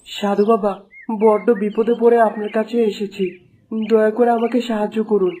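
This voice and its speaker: A woman's voice: mid-range voice, little pitch movement, moderate pace, mellow, slight background noise, close to an everyday voice. A woman voicing a devoted, anxious bird with an earnest, pleading manner in a dramatic cartoon style.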